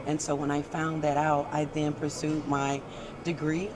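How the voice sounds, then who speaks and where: A woman's voice: low-pitched, flat delivery, moderate pace, poor audio. A middle-aged woman on a panel telling her career story in a matter-of-fact way. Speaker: aviation scheduler, panelist. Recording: busy crowd noise around the voice. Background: crowd chatter.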